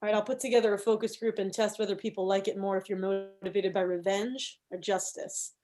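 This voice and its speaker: A woman's voice: mid-range voice, level tone, fairly quick, over music, natural voice. A woman playing a zealous, quirky, scheming campaign manager in a comedy script read. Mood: intense, matter-of-fact.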